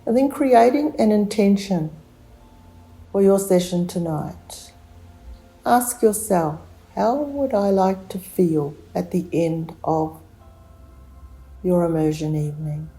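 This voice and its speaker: A woman leading a guided relaxation meditation, giving gentle, soothing instructions with long unhurried pauses. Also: on the low side, faint music behind, rough recording.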